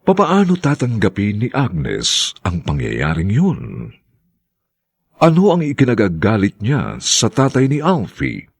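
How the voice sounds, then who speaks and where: A male radio soap announcer with a low, husky, gravelly voice. He reads slowly and theatrically, with very sweeping intonation. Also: wildly swooping pitch. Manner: theatrical, deliberate. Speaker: narrator and announcer. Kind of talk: dramatic scripted radio drama narration.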